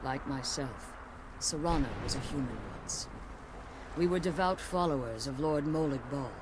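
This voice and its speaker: A woman's voice: deep voice, moderate pace, noisy background, close to an everyday voice. An actress playing an ancient vampire mother in a video game, imperious, severe and protective, with formal, theatrical speech. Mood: grave, solemn. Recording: loud game ambience behind the voice.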